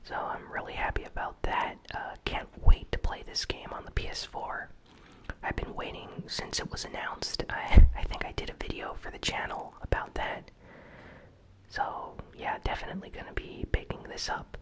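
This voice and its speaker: Hushed ASMR whisperer recapping news. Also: moderate pace, poor audio. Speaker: host of a gaming news channel.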